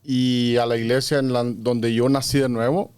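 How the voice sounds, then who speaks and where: A man's voice: mid-range voice, little pitch movement, normal speed, a little mellow, clean tone. A man from Honduras, a podcast guest who explains his views earnestly at length, with frequent fillers. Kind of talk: conversational interview.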